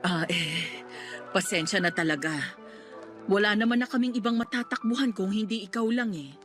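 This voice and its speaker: A woman's voice: medium pitch, normal speed, a bit bright, faint music behind, close to an everyday voice. A woman playing a pleading, practical and bossy loyal friend in a radio drama. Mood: apologetic. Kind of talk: Tagalog radio drama acting.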